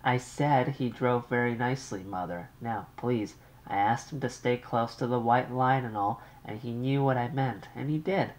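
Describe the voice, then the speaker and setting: A man reading aloud, voicing a calm young wife who answers her mother's questions with weary patience. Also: medium pitch, moderate pace, voice pushed up, close to an everyday voice. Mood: patient, weary. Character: cool, unflappable young wife on the phone.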